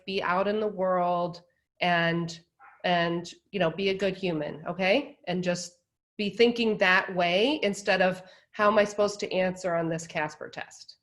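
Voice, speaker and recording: A woman who directs admissions, giving emphatic advice to applicants and repeating her points over a video call. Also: medium pitch.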